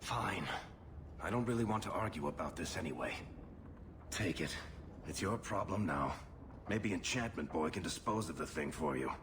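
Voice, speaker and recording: Low-pitched weary dwarf, wry, game cutscene, noisy ambience. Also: male voice, lively intonation, moderate pace, long pauses. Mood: resigned. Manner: wry, conversational. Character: wry, weary male dwarf adventurer and friend. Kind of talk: video game cutscene acting.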